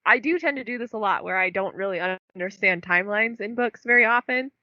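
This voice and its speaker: A woman's voice: mid-range voice, fast, no echo. A young woman co-hosting a book podcast, chatting casually with lots of fillers and laughing at herself. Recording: dull dry lo-fi recording.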